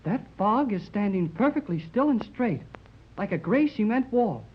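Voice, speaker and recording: Eager young lad, boyish and folksy, muffled old radio with heavy hiss. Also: male voice, high voice, expressive, moderate pace. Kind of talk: 1930s radio drama acting.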